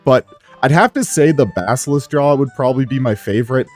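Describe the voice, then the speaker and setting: A man's voice: medium pitch, brisk, faint music behind. A man giving casual, rambling commentary over his gameplay, sounding a little sick and pushing his voice up a bit. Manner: relaxed, rambling, frequent "uh" fillers. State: sick, strained low voice. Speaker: gaming YouTuber.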